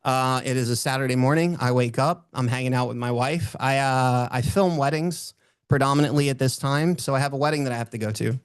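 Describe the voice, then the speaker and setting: Booming-voiced man giving a motivational talk. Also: fairly quick, smooth, no room sound. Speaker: motivational speaker. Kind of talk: motivational talk with personal storytelling.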